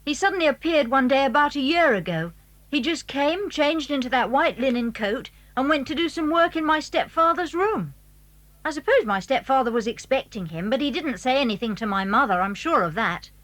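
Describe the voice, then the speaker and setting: A woman's voice: high-pitched, lively intonation, a little fast, a bit bright. A woman playing a clever, secretive British schoolboy in a children's audio drama, eager and well-spoken as he explains things. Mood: wary. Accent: British English. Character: clever, secretive schoolboy showing friends his house.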